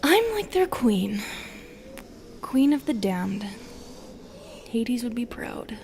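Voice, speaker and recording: Medusa, female monster woman performer: lonely, bitter, seductive. Intimate audio drama, breathy half-whispers, unhurried and dark-tempered, some noise behind. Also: fairly high pitch, big pitch swings, full character voice. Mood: cold, bitter. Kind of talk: intimate audio roleplay acting.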